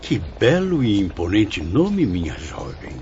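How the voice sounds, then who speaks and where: Cavalheiro cortês, grave e expressivo. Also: male voice, on the low side, wildly swooping pitch, a little slow, dark tone, full character voice. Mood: admiring, curious. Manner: formal, gallant. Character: courteous, curious gentleman spirit, a deferential learner. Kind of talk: radio drama acting. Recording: dull sound with faint noise.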